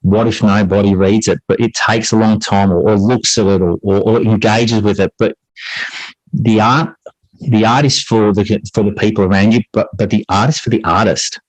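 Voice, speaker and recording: A man with a fairly low voice talks in a reflective interview style. He is earnest and discursive, often repeating a phrase as he works out the thought. The sound is dry, with very little room to it. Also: fairly even intonation, normal speed, no echo, poor audio. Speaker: podcast guest, English teacher and writer.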